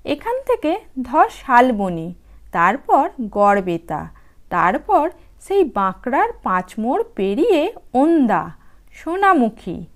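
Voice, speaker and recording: A patient young male uncle elephant from a children's storybook, acted out by a woman. The delivery is slow and measured, rising and falling in a sing-song way in a high register. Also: very animated. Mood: explanatory. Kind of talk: children's audio storybook character.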